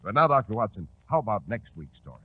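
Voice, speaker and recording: A man's voice: fairly low pitch, sing-song, fast, slightly rough. An American man announcing and hosting a scripted 1940s radio program. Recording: tinny 1940s radio recording. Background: organ music.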